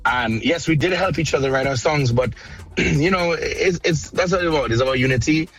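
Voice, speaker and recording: A man's voice: on the high side, moderate pace, husky, low-quality recording. A man, a music artist guest, talking in a friendly, easygoing way in a casual interview on a call line.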